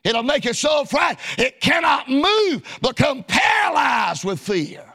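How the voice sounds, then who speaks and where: A man delivering a fiery sermon in a church. His voice is very high and bright, and he shouts in a rhythmic preaching cadence, breaking it up with frequent amens. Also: sing-song, a little slow, gravelly.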